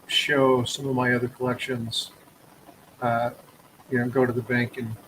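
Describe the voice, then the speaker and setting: A man's voice: mid-range voice, a little hiss or hum, low-quality recording. A man from Texas hosting a casual live stream, chatting in an easygoing, joking way with a guest. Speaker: coin roll hunting streamer.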